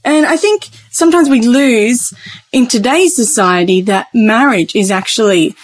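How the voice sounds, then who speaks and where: A woman with a fairly high voice talks as a radio co-host in casual discussion. She is chatty and opinionated, sharing her views in an informal way. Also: measured pace.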